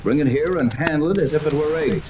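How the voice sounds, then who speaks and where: Actor as confident radio-serial captain, echoey old recording. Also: male voice, on the high side, quick pace, noisy background, close to an everyday voice. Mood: commanding. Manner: commanding, explanatory. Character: confident adult male yacht captain and earnest inventor. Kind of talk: 1930s radio serial acting.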